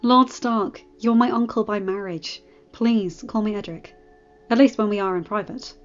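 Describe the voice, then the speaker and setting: A woman's voice: medium pitch, fairly even intonation, moderate pace, natural voice. A woman voicing a polite, earnest young nobleman making a formal request. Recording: dull audio with faint music.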